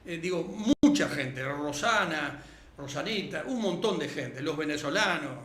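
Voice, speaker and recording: A Uruguayan man with a distinctly high voice gives his political opinions as a guest in a discussion. He speaks emphatically and punctuates his points with little tag questions, in a roomy, echoing space. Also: animated, unhurried, sharp tone. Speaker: interview guest, political activist.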